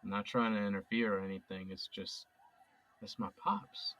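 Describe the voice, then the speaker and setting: An earnest young man, played by an actor in an audio drama, talking casually and openly. His voice is on the low side. It sounds set back from the microphone. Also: fairly even intonation, moderate pace, a bit bright, distant mic, lightly acted.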